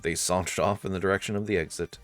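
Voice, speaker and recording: A man's voice: deep voice, fairly even intonation, fast talker, a bit bright. A man narrating a visual novel in a storytelling style. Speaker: narrator and let's-play reader. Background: faint music.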